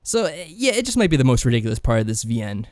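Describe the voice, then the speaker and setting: Male podcast co-host, wry and tongue-in-cheek, casual recap delivery, mid-pitched, very dry close recording. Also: sing-song, very fast, darkish tone, no room sound. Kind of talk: casual discussion and game recap.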